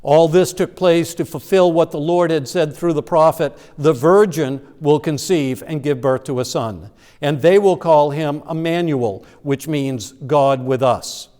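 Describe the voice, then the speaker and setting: A man with a notably high voice gives a sermon in a church. He explains scripture in a loose, conversational way, stumbling and restarting now and then. His words ring out through a long hall reverb. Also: a little slow, mellow. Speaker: pastor. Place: church sanctuary.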